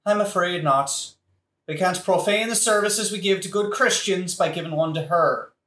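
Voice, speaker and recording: An actor in a satirical audio play playing a dry, matter-of-fact character who answers in a deadpan, officious way. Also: mid-range voice, normal speed, close to an everyday voice. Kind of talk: satirical modern-language audio play acting. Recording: echoey room.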